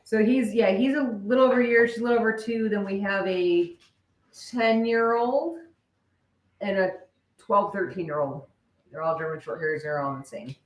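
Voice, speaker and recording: A woman's voice: mid-range voice, normal speed. A woman chatting casually and informally with viewers as co-host of a live stream. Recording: echoey room.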